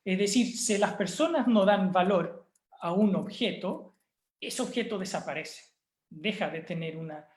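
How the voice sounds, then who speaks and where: Man lecturing in an echoey room. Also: sits high. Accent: Chilean Spanish. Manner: thoughtful, pauses with fillers and restarts. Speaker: university lecturer. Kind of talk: online course presentation. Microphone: video call.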